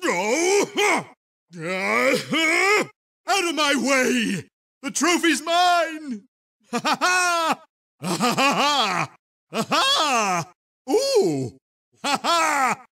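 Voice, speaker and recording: A high, wildly swooping cartoon villain, an evil scientist full of himself. The delivery is pompous and theatrical, with a sharp, piercing edge suited to a zany video game. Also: male voice, wildly swooping pitch, extremely slow, full character voice. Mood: taunting, triumphant. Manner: pompous, theatrical, shouty exclamations.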